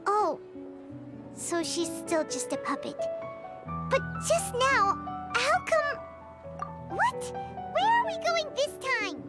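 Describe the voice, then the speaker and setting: A woman voicing a small, excitable, childlike fairy companion in a video game, squeaky and given to exclaiming. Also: slow pace, pauses often, music in the background, full character voice. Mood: puzzled. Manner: exclamatory, refers to self in third person.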